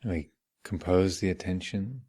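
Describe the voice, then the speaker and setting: A man leading a guided meditation as a teacher, speaking in measured, contemplative short phrases with long reflective pauses. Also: low voice, warm tone, breathy edge. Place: meditation retreat hall.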